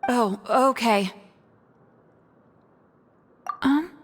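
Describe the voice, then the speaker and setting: A woman playing a timid young female librarian in a video game, hesitant, halting and apologetic. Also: on the high side, very noisy. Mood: nervous, apologetic.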